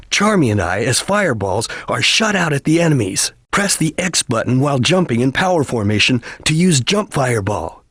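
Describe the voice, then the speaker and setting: A man voicing a stern, disciplined ninja chameleon in a video game, with a solemn, formal, clipped delivery and a husky voice. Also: medium pitch, a bit bright, dry recording, studio quality. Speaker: video game character giving battle lines and tutorials. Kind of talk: dramatic video game voice acting.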